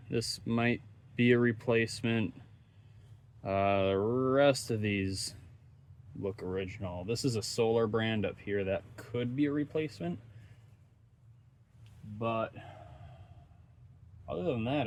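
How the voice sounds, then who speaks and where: Low-voiced man casually narrating, noisy background. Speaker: host of radio repair videos. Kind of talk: casual unscripted hands-on repair commentary. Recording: steady background noise, ambient sound.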